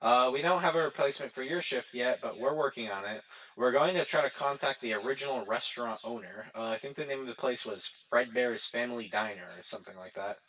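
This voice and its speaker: A man's voice: mid-range voice, brisk, bright, forceful tone, poor audio. A pizzeria employee leaving game voicemail messages. He rambles in a casual, nonchalant way, hesitating often. He sounds reassuring while staying evasive. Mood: uneasy, reassuring. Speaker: night-shift phone guide. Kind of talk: voicemail-style game monologue, casual and halting.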